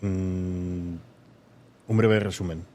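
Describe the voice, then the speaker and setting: Slow, low-voiced male host. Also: fairly even intonation, mellow, slight background noise. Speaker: podcast co-host. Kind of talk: interview questions.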